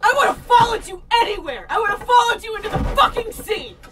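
An actress playing a tough, bitter young ex-soldier in an audio drama, speaking in a blunt, sardonic way. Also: female voice, very high voice, very animated, brisk. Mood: bitterly angry. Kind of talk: audio drama acting, naturalistic dialogue.

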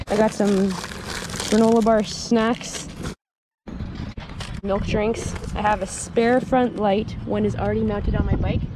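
A woman in her thirties talking casually and matter-of-factly to her camera as a cyclist vlogging her training, upbeat and chatty. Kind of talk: casual first-person vlog. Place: outdoors on a racetrack and roadside. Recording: noisy outdoor action-camera audio, vehicle-like rumble.

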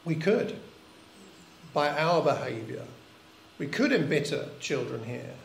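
A man's voice: a little high, very animated, takes it slowly, gravelly, a little hiss or hum. An older man preaching a sermon in church, earnest and reflective, often asking rhetorical questions. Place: church hall. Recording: echoey church recording.

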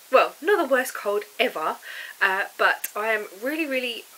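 A woman's voice: on the high side, lively intonation, measured pace, pushed and bright, poor audio. Bunged-up woman knitting vlogger. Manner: chatty, rambling, many ums. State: congested with a cold and sore throat. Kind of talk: casual chat to camera. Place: living room sofa indoors.

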